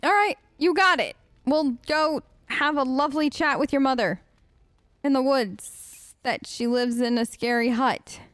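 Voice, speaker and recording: A woman's voice: high-pitched, a little slow, fairly bright tone, dry recording, studio quality. A woman doing casual let's play role-play, answering game characters in an informal, hesitant, playful way.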